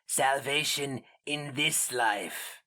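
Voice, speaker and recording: A man performs an inhuman alien creature for a fantasy audiobook. The voice is raspy and guttural, drawing words out very slowly with cold, seductive menace. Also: medium pitch, sharp tone, voice pushed up, full character voice. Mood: menacing, seductive. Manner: slow, deliberate.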